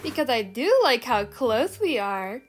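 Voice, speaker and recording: A woman's voice: fairly high pitch, sing-song, measured pace, noisy background. A woman voicing a teasing, flirtatious female cartoon hedgehog in a comic dub, coy in manner. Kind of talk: fan comic dub voice acting.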